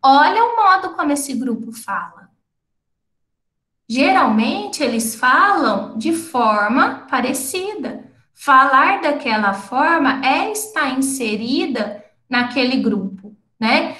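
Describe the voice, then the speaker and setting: A woman's voice: high voice, lively intonation, slow, sharp tone, reverberant. A woman giving an academic lecture to teachers, explaining step by step and often checking in with tag questions. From not from the city of the event. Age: adult with completed schooling. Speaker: lecturer and researcher.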